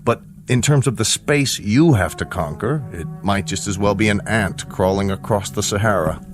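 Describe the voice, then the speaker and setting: An actor playing a measured, grave older scientist in a radio drama, speaking precisely and formally with a fatherly authority. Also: lowish voice, music in the background, close to an everyday voice. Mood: solemn, explanatory. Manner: precise, deliberate, formal, explanatory. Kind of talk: radio drama acting, deliberate dialogue.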